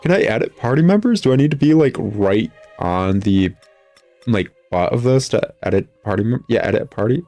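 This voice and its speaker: A man with a fairly low voice talks casually through a video game as he plays. His manner is sarcastic and exasperated, rambling from one complaint to the next like a critic hosting his own show. Music plays clearly behind him. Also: expressive, normal speed, dark tone, no echo. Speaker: gaming video host, critic.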